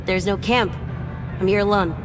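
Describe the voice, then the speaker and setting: A woman's voice: mid-range voice, fairly even intonation, moderate pace, over music, close to an everyday voice. A woman voicing a guarded young female drifter in video game dialogue, terse, dismissive and defiant.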